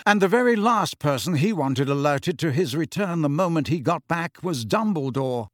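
Storybook peacemaker, male narrator: calm authority, exact phrasing, dry close recording. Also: fairly high pitch, moderate pace, dry recording, pitched above the performer's own voice, lightly acted. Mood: knowing. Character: calm, reasonable adult man, firm peacemaker.

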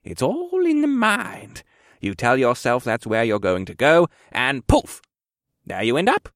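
A man's voice: mid-range voice, huge pitch swings, measured pace, dry recording, clean studio sound, pitched above the performer's own voice. A man voicing a frail but spry old male quartermaster, amused, patient and instructive, in an audiobook. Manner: chuckling, instructive. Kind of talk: audiobook character dialogue.

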